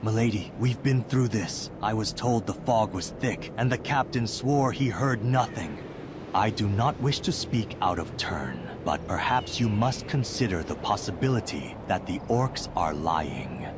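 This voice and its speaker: Deep smooth-talking royal advisor, deferential but sly, fantasy game, noisy behind. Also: male voice, lively intonation, unhurried. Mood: deferential, insinuating. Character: deferential, insinuating male advisor to a noblewoman.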